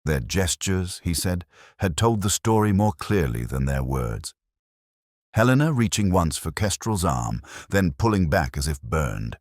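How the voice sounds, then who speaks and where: A man reading a scripted first-person detective story in a measured, brooding, literary manner. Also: low voice, no echo, clean studio sound. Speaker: narrator.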